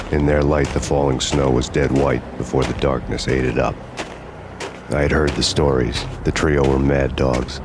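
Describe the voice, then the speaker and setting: An actor playing a grim, world-weary, vengeful hard-boiled narrator in a noir game, brooding and deadpan. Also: male voice, very deep voice.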